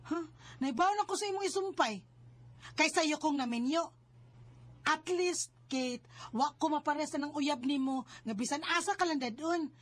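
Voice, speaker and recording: Woman playing bossy big sister in a radio drama, cebuano filipino accent, high pitched, scolds like she means it. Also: normal speed, close to an everyday voice. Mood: scolding, defensive. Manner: nagging, emphatic. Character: protective, scolding married older sister, young woman. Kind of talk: Bisaya radio drama acting.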